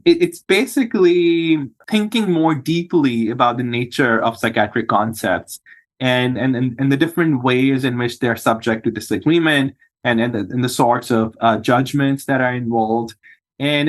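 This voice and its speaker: A man from Pakistan, a psychiatrist guest on a podcast, speaking thoughtfully with frequent hesitations and restarts. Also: medium pitch. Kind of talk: podcast interview.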